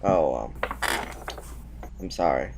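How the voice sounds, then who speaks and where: An actor playing a dutiful, awkward military aide in an audio drama, speaking formally and a little hesitantly. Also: male voice, a bass voice, takes it slowly, gravelly, muffled recording, background noise, full character voice. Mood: deferential, awkward.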